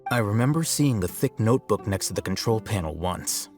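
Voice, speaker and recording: Guy as a calm brainy game scholar, lowish voice, explains things plainly, soft music behind. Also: close to an everyday voice. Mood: explaining. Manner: measured, explanatory. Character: composed, analytical male scholar, matter-of-fact and sharp. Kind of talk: video game cutscene acting.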